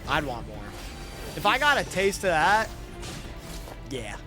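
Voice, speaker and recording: A man giving casual, slangy and profane livestream reaction commentary on a game, with excitable delivery. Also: fairly high pitch, unhurried, music in the background. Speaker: streamer.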